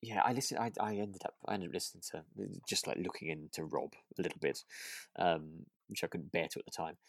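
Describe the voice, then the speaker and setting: A low voice in a dry, echo-free recording, co-hosting a casual discussion. The delivery is informal and a little hesitant, feeling out each point while thinking aloud. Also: brisk. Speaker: podcast co-host. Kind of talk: casual chat about a radio drama.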